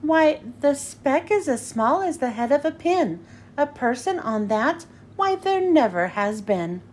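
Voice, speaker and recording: Woman as a high, huffy, sour kangaroo. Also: big pitch swings, measured pace, darkish tone, a little hiss or hum. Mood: scornful. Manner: dismissive, huffy. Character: sour, scornful, mocking kangaroo.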